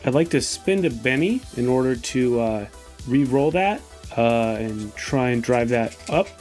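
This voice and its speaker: A man playing a tabletop game, explaining his moves and describing action in a deliberate, casual way. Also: medium pitch, lively intonation, darkish tone, faint music behind.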